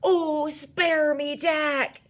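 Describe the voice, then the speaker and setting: A woman's voice: sits high, level tone, drawn-out words, pitched above the performer's own voice. A woman voicing a huge man-eating giant, bellowing and pleading in terror with mangled grammar in a storybook. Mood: desperate pleading.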